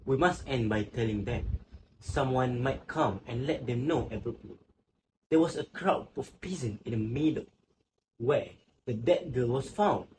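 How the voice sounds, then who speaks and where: A man's voice: medium pitch, animated, measured pace, close to an everyday voice. A man playing a grave, watchful witness in a literary stage play. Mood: quiet, watchful. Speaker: student actor. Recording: faint background noise.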